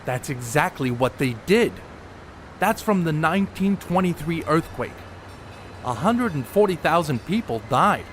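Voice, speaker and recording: An actor playing a brainy, secretive ballplayer who explains things with dry wit in a radio play. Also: male voice, fairly high pitch, lively intonation, measured pace, fairly bright tone, a little hiss or hum. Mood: matter-of-fact. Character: brainy, secretive ballplayer, adult man, dryly matter-of-fact.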